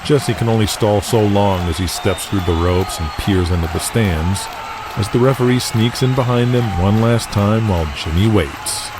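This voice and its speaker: Low-voiced male drama narrator. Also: moderate pace, crowd noise, noisy background. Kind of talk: dramatic scripted narration, present tense.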